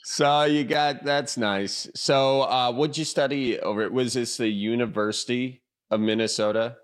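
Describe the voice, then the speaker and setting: A man's voice: medium pitch, steady tone, measured pace. A man hosting a podcast, chatting casually and joking, often addressing listeners as folks. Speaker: podcast host. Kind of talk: casual chat and interview.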